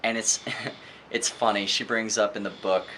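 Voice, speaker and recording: A man giving a casual, opinionated book review monologue in an earnest, emphatic way, often halting and posing rhetorical questions. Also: lowish voice, flat delivery, pushed and bright, slight background noise, rough recording.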